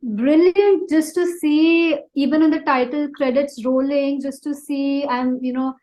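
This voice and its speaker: A woman's voice: high-pitched, steady tone, a little slow, dark tone, low-quality recording. A woman with an Indian accent, a singer chatting informally as a guest and telling anecdotes in a gushing, appreciative way. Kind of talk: casual chat, telling anecdotes.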